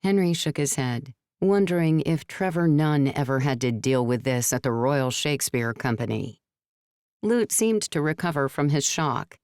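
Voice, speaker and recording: A woman reading scripted narration for a novel in a measured storytelling manner. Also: sits low, darkish tone, clear, resonant tone, dry recording, clean studio sound. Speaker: audiobook narrator.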